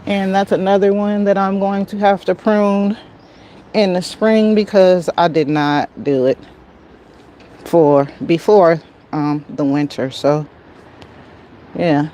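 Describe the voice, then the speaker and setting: A woman's voice: fairly low pitch, fairly even intonation, takes it slowly, pauses often, dark tone. An American woman chatting casually on a garden livestream, folksy, often muttering to herself and her plants, recorded on a phone outdoors. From United States. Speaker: garden vlogger, livestream host. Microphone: handheld phone.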